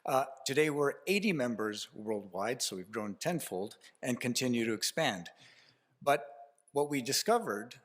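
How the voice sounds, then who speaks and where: A man's voice: medium pitch, lively intonation, normal speed, fairly bright tone. A man with a Canadian accent giving a conference speech to an audience, telling a story informally with frequent fillers and restarts. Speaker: keynote speaker at a conference.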